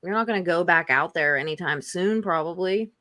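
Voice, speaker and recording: A woman's voice: lowish voice, fairly quick, bright, forceful tone, dry recording, clean studio sound. A woman in a casual group chat, blunt and jokey, with lots of "like".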